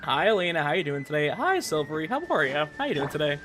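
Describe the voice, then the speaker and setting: A man hosting a gaming livestream, talking casually and haltingly to his chat, often repeating words as he goes. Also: on the high side, sing-song. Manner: conversational, halting, repeats words while reading and answering chat. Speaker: livestream host, gamer. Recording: music playing behind voice. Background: game music.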